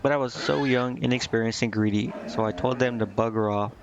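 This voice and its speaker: A man's voice: medium pitch, steady tone, fairly quick, lo-fi sound, music in the background, lightly acted. A man reading the lines of a brash, shrewd, one-eyed tiefling merchant from a fantasy game, with occasional stumbles. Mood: matter-of-fact, reminiscing. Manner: plain reading of scripted dialogue with occasional stumbles.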